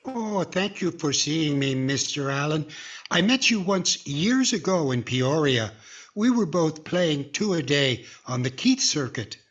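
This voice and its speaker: Husky, eager radio ventriloquist played by a man. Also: fairly high pitch, very animated, unhurried, highs cut off. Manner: earnest, eager to please. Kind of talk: 1940s radio comedy acting.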